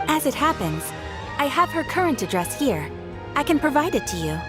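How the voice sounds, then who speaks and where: A woman playing a composed, courteous official who speaks formally and calmly, in a video game cutscene. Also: high-pitched, normal speed. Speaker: official who assists commissioners. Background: game score music.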